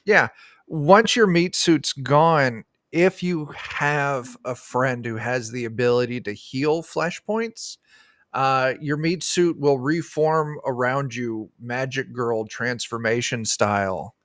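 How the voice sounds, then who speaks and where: A man's voice: medium pitch, takes it slowly, a bit bright, no echo, studio quality. A man in his thirties chatting casually as a game designer guest in an interview. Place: room at home, on video call.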